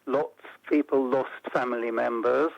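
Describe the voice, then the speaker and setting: Older British man, measured and gravelly. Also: mid-range voice, steady tone, dry recording, dull audio. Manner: measured, explanatory, builds points step by step. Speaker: interview guest, policy analyst. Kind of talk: radio interview.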